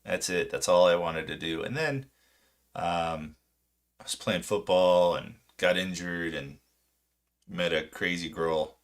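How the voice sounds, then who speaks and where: A man in his late thirties, from Poland and raised in California, chatting casually and unscripted on a livestream in a halting, self-deprecating, rambling way. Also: sits low. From Poland until age seven, then southern California. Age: late 30s. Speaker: livestream host, amateur retail trader.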